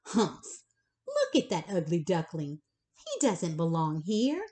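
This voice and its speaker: A disdainful barnyard duck from a children's storybook, voiced by a woman reading aloud. The bird is a mocking bully. The delivery is animated, with lively pitch movement. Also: takes it slowly, exaggerated voice. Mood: scornful. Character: scornful, bullying barnyard ducks jeering at an outcast.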